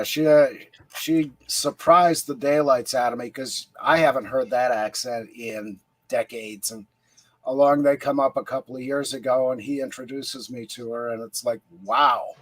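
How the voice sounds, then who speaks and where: A man's voice: medium pitch, fairly even intonation, measured pace, slightly rough, dry recording, poor audio. A man telling stories in an easygoing way in a casual podcast chat. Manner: easygoing storyteller.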